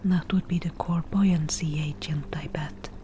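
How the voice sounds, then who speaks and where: A woman playing a confident, slightly prickly forest witch brewing potions in a soft, breathy ASMR whisper. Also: low-pitched, steady tone, slight background noise. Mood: absorbed. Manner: slow, unhurried, murmuring.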